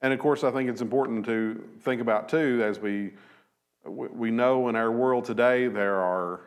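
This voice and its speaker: A man in his thirties teaching a Bible lesson from a church lectern, measured and thoughtful, with frequent fillers and restarts. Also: mid-range voice. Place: church auditorium at a lectern, slides behind.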